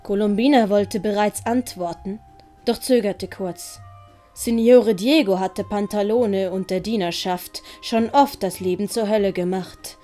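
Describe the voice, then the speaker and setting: A woman's voice: medium pitch, a little slow, warm tone, faint music behind. A woman reading scripted narration for an audiobook as its storyteller.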